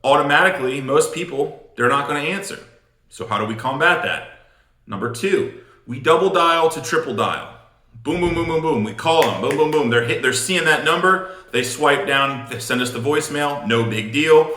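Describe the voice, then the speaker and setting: Bright-voiced man coaching, echoey room. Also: on the high side, lively intonation, normal speed. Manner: emphatic, repeats words for punch. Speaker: sales coach. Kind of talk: instructional talk on phone sales technique. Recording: roomy, echoing room sound.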